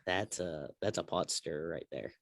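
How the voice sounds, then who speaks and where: A man's voice: sits low, moderate pace, gravelly, a bit bright, dry recording, clean studio sound. A man hosting a casual sports talk show, chatting and putting questions to his co-hosts. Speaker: sports podcast host.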